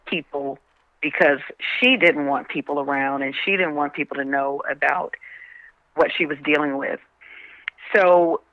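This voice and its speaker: A woman's voice: deep voice, bright, forceful tone, no room sound, poor audio. A woman from Baltimore, a comedian being interviewed, talking conversationally in an earnest, emphatic way. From Baltimore, Maryland, USA.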